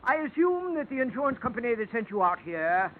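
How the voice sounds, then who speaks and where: High-voiced, pompous radio-drama salvage expert. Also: male voice, sing-song, brisk. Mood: businesslike, grand. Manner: pompous, florid, formal, chuckling. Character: genial, verbose deep-sea salvage expert, fond of flourishes. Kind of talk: 1950s radio drama acting. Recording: dull, noisy old radio recording.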